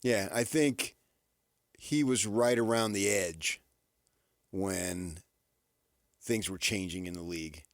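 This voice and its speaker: A man hosting sports talk radio, casually musing in halting, repeated phrases for emphasis. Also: deep voice, no room sound, clean studio sound.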